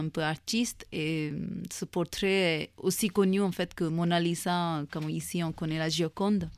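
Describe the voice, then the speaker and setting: A woman's voice: lowish voice, smooth, dry recording. A woman, a Hindi speaker, speaking as a guest in a casual radio interview, hesitant with many fillers and restarts. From India. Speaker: guest, association founder.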